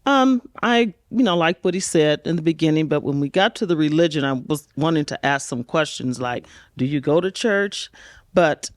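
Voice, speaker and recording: A woman's voice: sits low, animated, normal speed, dry recording, studio quality. A woman in her sixties, a dating show contestant, speaking in a cheerful, friendly way. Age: 60s.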